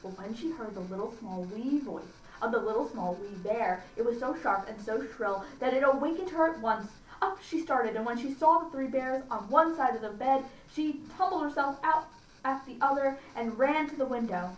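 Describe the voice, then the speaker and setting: A woman reading a children's story aloud for a library audience, deliberately and with small stumbles. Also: a little high. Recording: echoey room, distant mic, faint noise.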